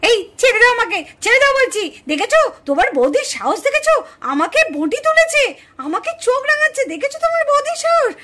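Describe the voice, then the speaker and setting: A woman's voice: very high voice, very animated, quick pace, bright, forceful tone, exaggerated voice. A woman voicing a quarrelsome, indignant village daughter-in-law in a puppet cartoon family drama. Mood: furious. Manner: scolding, indignant, exclamatory.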